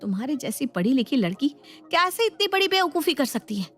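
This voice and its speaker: A woman's voice: sits high, sing-song, fairly quick, fairly bright tone, faint music behind, voice pushed up. A woman voicing a stern elder sister-in-law, confronting and lecturing in a dramatized moral story.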